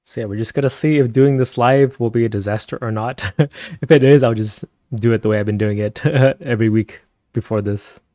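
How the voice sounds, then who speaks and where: A man chatting casually with viewers on a live stream, speaking softly and keeping his voice down at night at home, and laughing often. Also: steady tone, a little fast, no room sound, studio quality. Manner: soft-spoken, keeps voice low at night.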